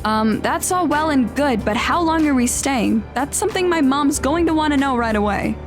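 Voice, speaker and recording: Casting: actress as polite, eager, easily flustered teen girl, slightly high, music underneath, audio drama. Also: lively intonation, normal speed.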